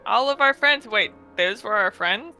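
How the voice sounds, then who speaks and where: A man's voice: sits high, lively intonation, normal speed, pushed and bright. A man chatting casually as a co-player during a video game stream. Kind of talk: casual chat during gaming. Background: faint game music.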